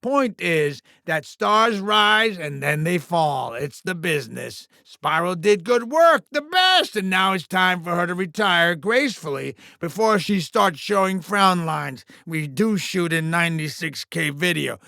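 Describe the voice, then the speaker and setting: A man's voice: high-pitched, lively intonation, gravelly, sharp tone, no echo, clean studio sound, heavily acted. A man voicing a gleefully crass, scheming alien showbiz mogul in a video game, with a bombastic, falsely chummy huckster's delivery. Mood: slick, dismissive. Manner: bombastic, fast-talking huckster, foul-mouthed, falsely chummy. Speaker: arena show promoter.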